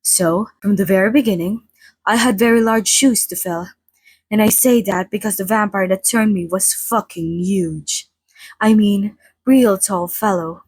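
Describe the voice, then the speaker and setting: Woman playing a centuries-worn female vampire, soft and breathy, playfully grand, chatty, ASMR roleplay, close dry mic. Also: medium pitch, measured pace, no echo. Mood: gossipy, wry. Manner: playfully grand, mock-formal, dramatic, chatty. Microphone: close studio microphone, dry.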